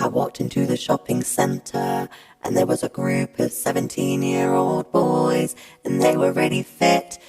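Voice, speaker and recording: A woman with a gravelly voice telling a first-person anecdote. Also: extremely low pitch, low-quality recording. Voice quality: gravelly, creaky.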